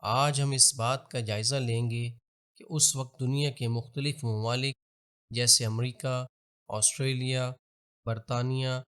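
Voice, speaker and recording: A man's voice: mid-range voice, fairly even intonation, fairly bright tone, smooth. Formal Pakistani male narrator. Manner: formal, measured, expository. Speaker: documentary narrator. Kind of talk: scripted historical narration.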